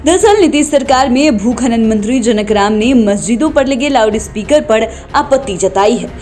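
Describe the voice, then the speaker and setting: A woman's voice: on the high side, animated, normal speed, a little mellow, a little hiss or hum. A woman narrating a scripted news report as the reporter. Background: background music.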